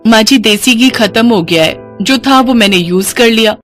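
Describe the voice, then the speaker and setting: A woman's voice: on the high side, moderate pace, fairly bright tone, faint music behind, close to an everyday voice. A woman voicing a meek young daughter-in-law who explains herself apologetically in a moral story. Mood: meek, pleading.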